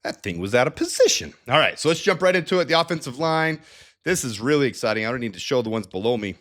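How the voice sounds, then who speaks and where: A man's voice: mid-range voice, fast talker. A man hosting a sports analysis show, talking casually through stats with a slightly nasal, stuffed-up sound from a head cold. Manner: energetic, chatty, with frequent restarts and asides. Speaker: sports channel host.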